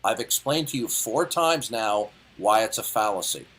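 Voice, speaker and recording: A man's voice: fairly low pitch, lively intonation, normal speed, a bit bright. Condescending, lecturing man in his fifties. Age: 50s. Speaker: debate caller. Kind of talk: heated debate.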